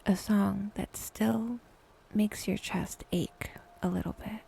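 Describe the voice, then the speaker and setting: Slow, near-whispering woman guiding meditation. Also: mid-range voice, fairly even intonation, a little hiss or hum. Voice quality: soft, breathy, often near-whispered. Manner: unhurried, with long pauses between short phrases. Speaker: meditation guide, podcast host. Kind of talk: guided meditation, soft slow scripted narration.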